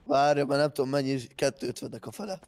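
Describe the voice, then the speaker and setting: A man's voice: mid-range voice, vocal fry, a little mellow. A man talking casually and crudely with others while playing a roleplay game. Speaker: roleplay gamer.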